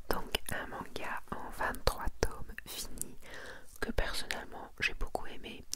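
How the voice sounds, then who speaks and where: A woman whispering in a breathy, intimate ASMR style, casually presenting her collection to one listener. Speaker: ASMR video creator presenting a manga collection.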